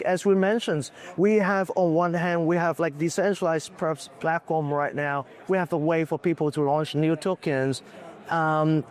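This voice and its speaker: A man, a business executive, answering questions in a conference booth interview, slightly hoarse from losing his voice, talking candidly with lots of fillers. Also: a little high, a little mellow. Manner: candid, loose, full of "uh" and "like".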